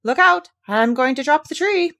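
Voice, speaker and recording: A woman's voice: high voice, lively intonation, moderate pace, fairly bright tone, voice pushed up. A woman voicing a huge, blustering male giant who challenges others in a storybook tale. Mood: alarmed, mocking. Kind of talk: read-aloud fairy tale.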